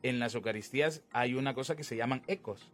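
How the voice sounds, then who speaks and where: A man explains faith in an informal conversation. His voice sits at a medium pitch. He leans on phrases, repeats them and acts out what others say, with soft music behind him. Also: a little fast. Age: 20s. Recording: music under the talk.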